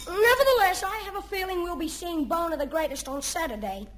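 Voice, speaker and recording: A woman playing a cheeky, excitable young boy in an old-time radio comedy, full of exclamations. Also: very high-pitched, fairly quick.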